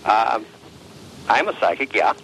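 A man's voice: mid-range voice, animated, a little slow, gravelly, fairly bright tone. A man who works as a reverend and psychic, answering in an unscripted phone conversation. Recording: noisy telephone line on radio.

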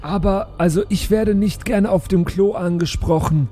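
Male tabletop player, high-pitched but mellow, improvised in-character chat. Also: moderate pace. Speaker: role-playing game player. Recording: music behind the voice. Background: music.

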